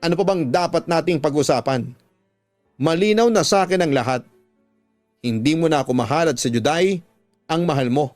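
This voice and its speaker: A man's voice: fairly high pitch, normal speed, pauses often, darkish tone, dry recording, soft background music, natural voice. A man voicing a betrayed wife in a radio drama, bitter, wounded and confrontational, with emphatic, accusing delivery. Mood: angry, wounded. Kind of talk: Tagalog radio drama acting.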